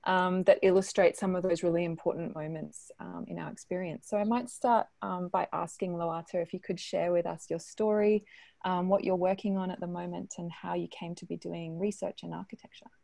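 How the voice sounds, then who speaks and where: Lower-pitched woman in her thirties, dry sound. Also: steady tone, fairly quick, vocal fry, warm tone, dry recording. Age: 30s. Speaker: guest speaker, conversation partner. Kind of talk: casual introduction on an online panel. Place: living room at home. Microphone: wired earphone mic on video call.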